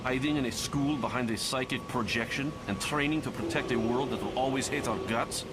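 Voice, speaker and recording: A man's voice: mid-range voice, a little fast, heavy background noise, lightly acted. A man voicing a reluctant, stubborn young superhero in a motion comic, blunt and dismissive in manner. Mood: argumentative. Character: reluctant nineteen-year-old Russian male superhero, stubborn and weary. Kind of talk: dramatic motion comic voice acting.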